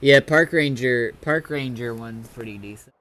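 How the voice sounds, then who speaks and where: A man's voice: medium pitch, moderate pace, fairly bright tone. A man giving casual gameplay commentary as a streamer, muttering to himself as he thinks aloud. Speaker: gaming streamer.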